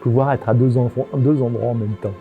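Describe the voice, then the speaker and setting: A man's voice: mid-range voice, fairly even intonation, a little fast, phone-quality sound, over music. A man giving informal interview answers as a local government executive, often hesitating and repeating words as he searches for phrasing.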